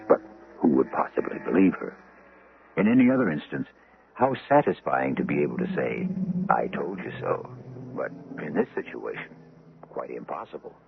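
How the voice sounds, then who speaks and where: A man hosting a suspense radio drama with theatrical scripted narration, ominous, deliberate and rhetorical. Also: rumbling low, gravelly. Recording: muffled vintage 1970s radio, music behind.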